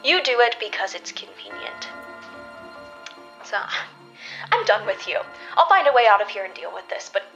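A woman's voice: medium pitch, very animated, moderate pace, long pauses, fairly bright tone, dull audio, faint music behind. A woman playing an indignant, principled superheroine, emphatic and confrontational, in an audio drama. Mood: angry, resolute.

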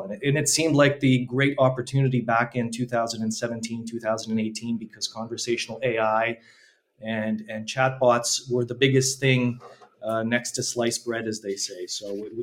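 A man with a Canadian accent, speaking as a guest in an interview. His delivery stays flat and even, with little rise and fall. He talks steadily and promotionally about his work. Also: medium pitch. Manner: steady, businesslike and promotional, with some restarts. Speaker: startup co-founder, guest. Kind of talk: conversational interview.

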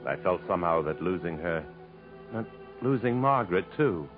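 Male for old radio drama: very low-pitched, troubled survivor, earnest and protective, on a muffled recording with music behind. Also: animated, normal speed. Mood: intense, resolute. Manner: earnest, literary, dramatic delivery. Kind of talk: 1950s radio acting, dramatic narration and dialogue.

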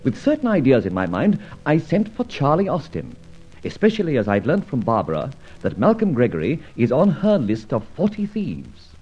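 A man playing a suave, wry gentleman adventurer in an old radio drama, urbane and wordy, with a drily witty manner. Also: mid-range voice, huge pitch swings, normal speed, slightly rough, soft background music. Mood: wry, narrating. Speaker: narrator and lead actor. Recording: dull old radio recording.

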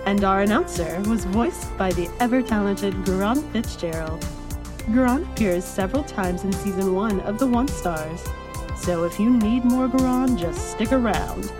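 Woman reading credits over clear music. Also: medium pitch, normal speed. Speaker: credits reader. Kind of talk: scripted podcast credits.

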